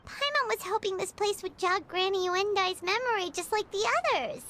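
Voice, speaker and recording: A woman's voice: moderate pace, bright, forceful tone, slight background noise. A woman voicing a chirpy tiny floating girl companion in a video game, who speaks of herself in the third person. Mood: reassuring. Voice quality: high, squeaky. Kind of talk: video game cutscene dialogue. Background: game music.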